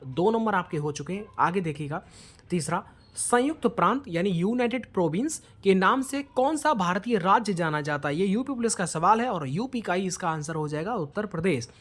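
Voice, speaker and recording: A man's voice: sits high, fairly bright tone. A male coaching teacher takes listeners through a quiz-style lesson. He speaks in an instructional way, putting questions to them and dropping English words into his explanations.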